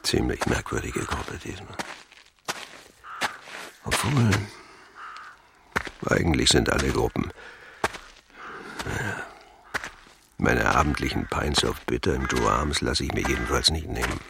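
A man's voice: rumbling low, lively intonation, measured pace, gravelly, pauses often, background noise, exaggerated voice. An actor playing a grumpy older man muttering to himself on a night walk, in a radio drama. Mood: grumbling. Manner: grumbling muttered inner monologue. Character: grumpy older male local walking home at night.

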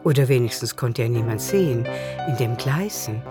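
A woman narrating a literary radio play in the third person, with measured composure. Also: very deep voice, animated, loud music behind the voice. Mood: composed.

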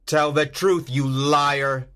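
A man playing a bold, righteous masked swordsman in a storybook, with a commanding, deliberate delivery. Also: a little high, steady tone, a bit bright, voice pushed up. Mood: angry, threatening. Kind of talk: dramatised storybook dialogue.

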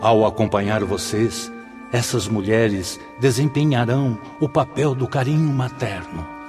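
A man's voice: mid-range voice, unhurried, a little mellow, close to an everyday voice. An actor playing a fatherly, solemn spirit mentor who teaches formally and measuredly in a radio drama. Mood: solemn, instructive. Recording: long reverb, music behind.

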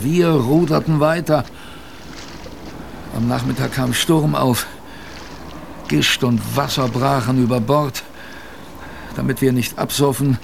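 A weary expedition sailor narrating a radio drama in the first person. His voice is mid-pitched and creaky, reflective yet dramatic, with faint noise behind it.

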